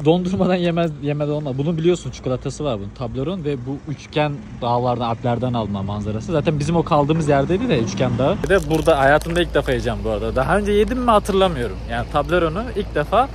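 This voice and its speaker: A man's voice: a little high, expressive, fast, few pauses. A man, chatting informally to camera as a travel vlogger outdoors. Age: 20s. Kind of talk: casual chat to camera. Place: outdoors on trails and in a village. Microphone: phone or action camera at arm's length. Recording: outdoor ambience and background noise.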